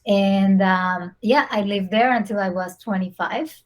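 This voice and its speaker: Earnest woman from Venezuela, mid-pitch. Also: a little slow. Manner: warm, earnest, with small slips in phrasing. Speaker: photographer, podcast guest. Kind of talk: casual interview storytelling.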